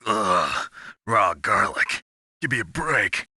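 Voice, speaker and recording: A man playing a gruff, sardonic, tough covert-ops soldier in a video game, with a gravelly voice and terse, wry delivery. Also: fairly low pitch, very animated, slow, pushed and bright, no room sound, clean studio sound, exaggerated voice. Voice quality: gravelly, raspy.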